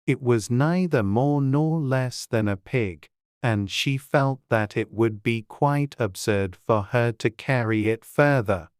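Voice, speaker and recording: Woman reading a storybook, really deep voice, slow and measured, dry studio-like sound. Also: a little mellow, clean tone, no room sound. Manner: measured, unhurried. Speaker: audiobook narrator. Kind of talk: scripted storybook narration.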